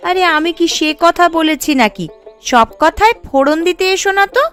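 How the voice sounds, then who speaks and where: A woman's voice: very high voice, expressive, moderate pace, fairly bright tone, faint music behind. A woman voicing a caring, busy, slightly tart young village wife in a cartoon, with a fussing manner. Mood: mildly peeved. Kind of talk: Bengali cartoon dialogue.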